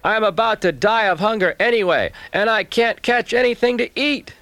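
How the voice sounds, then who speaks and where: A man voicing a hungry, crafty male raccoon trickster who speaks in a loud, pleading way. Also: high voice, moderate pace, no echo, voice pushed up. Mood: pleading loudly.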